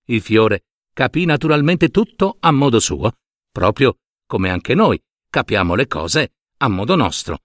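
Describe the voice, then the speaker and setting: Fairy tale narrator: man, mid-pitched, highly varied intonation, theatrical delivery with chatty asides. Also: sing-song, a little slow, a bit bright, no echo, clean studio sound. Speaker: storyteller.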